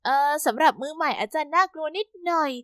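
A woman voicing a sweet, hopeful young stepsister who asks softly for help in a storybook reading. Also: high voice, fast, no room sound, studio quality.

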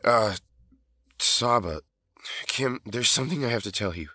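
A man's voice: medium pitch, expressive, measured pace, sharp tone, no room sound, studio quality. A man playing an anguished, earnest teenage hero in an audio drama, pleading as he talks. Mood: hesitant.

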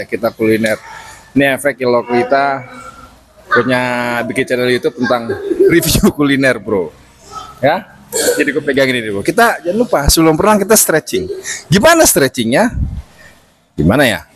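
A man's voice: mid-range voice, lively intonation, normal speed, slight background noise. A middle-aged man vlogging in casual chat to camera, joking and laughing often, filmed by a camera mic at an indoor rooftop pool.

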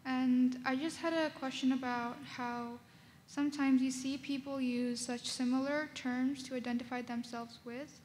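A woman's voice: sits high, fairly even intonation, a little slow, a little mellow. A young woman, a student, asking a question from the floor at a conference. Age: teens to young adult. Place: large conference ballroom. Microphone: standing floor microphone. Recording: long hall reverb, some room noise.

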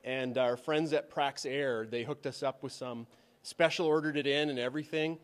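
A man's voice: mid-range voice, steady tone, moderate pace. A man in his thirties explaining science informally and emphatically, like an enthusiastic teacher in an interview. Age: 30s. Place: large school science classroom. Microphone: handheld reporter microphone. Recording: long echo of a large room.

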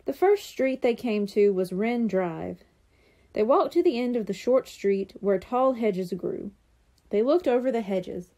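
Animated higher-pitched woman reading children's fiction. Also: measured pace. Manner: animated, acts out dialogue. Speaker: audiobook reader. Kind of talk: expressive children's storybook narration.

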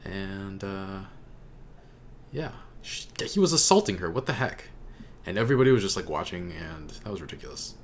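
A man's voice: sits low, very animated, normal speed, a little hiss or hum. A young man giving casual reaction commentary as the host, recorded indoors at a desk microphone. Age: young adult. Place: indoor room at home. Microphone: desk microphone close in front.

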